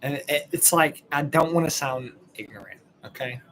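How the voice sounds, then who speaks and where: A man's voice: fairly high pitch, normal speed, fairly bright tone, slight background noise, poor audio. A man in his late twenties with a Texan accent, hosting a podcast and reacting to a clip in casual, rambling commentary, blunt and sprinkled with swearing, sounding tired late at night. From Texas, USA. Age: late 20s.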